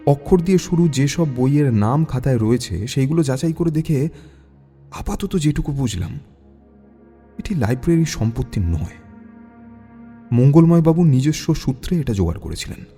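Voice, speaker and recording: A man playing a young detective in an audio drama, thoughtful, polite and inquisitive, reasoning aloud in a measured way. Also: fairly high pitch, expressive, warm tone, soft background music. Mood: pensive.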